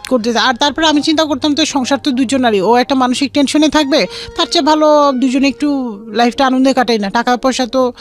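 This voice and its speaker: A woman's voice: sits high, a little fast. Bangladeshi woman telling her story over music. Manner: re-enacts conversations, quoting both sides. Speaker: guest telling life story. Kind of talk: personal storytelling in an interview. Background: background music.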